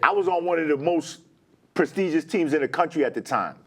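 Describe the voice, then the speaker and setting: Animated, emphatic man with swooping pitch. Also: fairly quick, slight background noise. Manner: emphatic, repeats himself, slangy. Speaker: sports show panelist. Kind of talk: animated casual sports banter.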